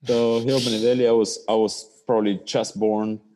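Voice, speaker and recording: A man from Argentina, a chef, telling stories in a relaxed podcast interview, with slightly non-native phrasing. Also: fairly low pitch, a little fast. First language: Spanish. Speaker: chef, guest. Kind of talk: casual interview.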